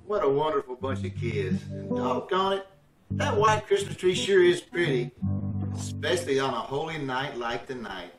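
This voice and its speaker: A good-natured, folksy man played by an actor in old radio comedy style, with hesitant phrasing. His voice sits high for a man and comes through a distant, echoey video call. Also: animated, normal speed, over music, close to an everyday voice. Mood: kindly, pleased.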